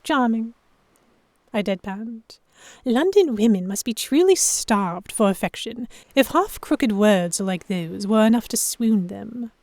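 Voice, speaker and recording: A woman playing a proud, sharp-tongued historical heroine who narrates a pirate romance in the first person, wry and expressive. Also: a little high, takes it slowly, no echo. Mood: scolding, dry. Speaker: narrator and lead voice actor.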